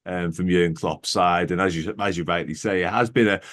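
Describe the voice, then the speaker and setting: A man hosting a fan podcast who talks quickly and keenly, stacking up lists. His voice sits very low. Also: fairly even intonation, fairly bright tone. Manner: fast, listing, enthusiastic fan talk. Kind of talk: casual interview chat.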